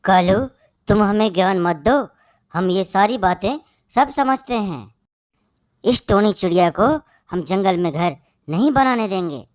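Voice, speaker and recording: Lady doing a mean cartoon bird, scolding n stern, mid pitch, dry sound. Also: animated, a little slow, no echo, lightly acted. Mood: dismissive. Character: hostile, superstitious jungle bird, harshly threatening. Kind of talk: Hindi moral-story cartoon acting.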